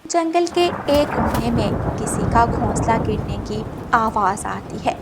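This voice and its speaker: A woman with a high voice tells a cartoon story. Her delivery is scripted narration from a storyteller. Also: lively intonation, measured pace, very noisy.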